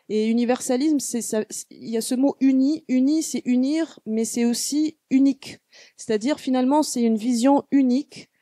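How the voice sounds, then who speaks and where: A woman with a mellow, dark voice speaks slowly and thoughtfully, often pausing and restarting. She is an Arabic speaker talking as a guest artist. The recording is close and dry. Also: fairly high pitch, no room sound. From Tunisia. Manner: halting, full of hesitations and restarts. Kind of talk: reflective panel talk.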